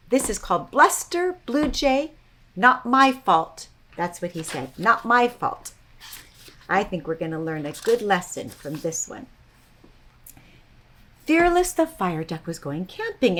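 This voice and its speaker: A woman hosting a children's storytime, talking and reading to young listeners in a gentle, teacherly way. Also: medium pitch, slight background noise.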